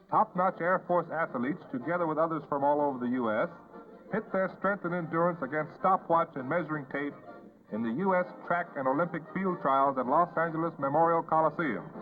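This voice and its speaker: Guy narrating old fifties sports newsreel, thin tinny sound, music behind him. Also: fairly high pitch.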